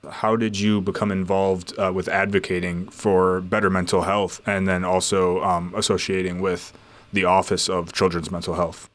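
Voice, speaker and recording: A man, a radio reporter, asks interview questions with hesitant "uh" and "um" pauses. His voice is very deep, and his delivery stays flat.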